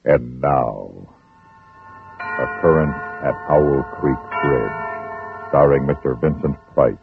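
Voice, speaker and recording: A man announcing an old-time radio suspense show in an ominous, deliberate manner. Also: extremely low pitch, gravelly. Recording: muffled vintage radio, dramatic music behind.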